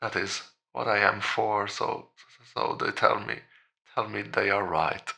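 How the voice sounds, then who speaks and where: An actor playing a grieving father in a horror audio drama, desperately clinging to faith, pleading in halting, insistent repetitions. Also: fairly low pitch, creaky, bright, forceful tone, heavily acted. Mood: desperate, fervent. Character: grieving father, desperate believer in a promised resurrection.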